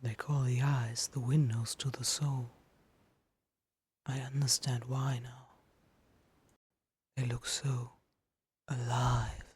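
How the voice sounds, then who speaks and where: A woman voicing a lonely, hesitant male supernatural being in a dramatic reading, hushed and breathy, with halting inner monologue. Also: very deep voice, little pitch movement, pitched below the performer's own voice, exaggerated voice. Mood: wistful awe.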